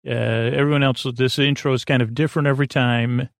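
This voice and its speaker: A man hosting a bedtime podcast, talking in a meandering, halting, repetitive way that trails off into tangents, with a creaky voice. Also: medium pitch, fairly even intonation, dry recording, clean studio sound. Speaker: podcast host. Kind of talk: rambling bedtime podcast monologue.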